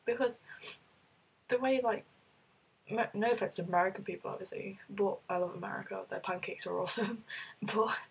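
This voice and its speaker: A British woman talks casually to her camera, rambling and restarting with plenty of fillers. Her voice sounds rough from being ill, very husky with a gravelly edge, and her pitch sits in an ordinary middle range. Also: steady tone, fairly quick, long pauses, poor audio. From United Kingdom. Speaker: vlogger. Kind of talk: casual chat answering a question list.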